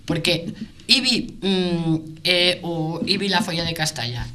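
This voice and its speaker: A woman with a bright, forceful voice argues her points in an unscripted debate. She is emphatic yet halting, circling back over words, with noticeable noise and clicks behind her. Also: on the low side. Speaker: business association representative on a debate panel.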